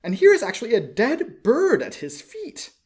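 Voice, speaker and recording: Man putting on a pompous, high-pitched blustering mayor. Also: wildly swooping pitch, moderate pace, a little mellow, exaggerated voice. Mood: pompous outrage. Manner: blustering, emphatic, haughty. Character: pompous, disdainful male town mayor.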